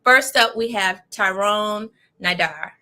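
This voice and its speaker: A woman's voice: mid-range voice, slow, pushed and bright. An American woman hosting a panel show in an upbeat style, introducing guests and putting questions to them. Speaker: host of a self-care show.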